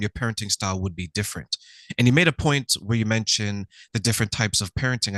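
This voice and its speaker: A man's voice: medium pitch, no room sound. A man hosting an interview, chatting casually and joking, acting out little scenes with playful voices. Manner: humorous, animated storytelling with playful voices. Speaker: host.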